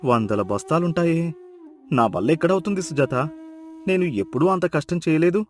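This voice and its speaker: A man playing a lazy, food-loving young village husband with a whiny, exaggerated manner in an animated moral story. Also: on the high side, brisk, soft background music, natural voice. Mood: whiny pleading. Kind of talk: acted moral story dialogue.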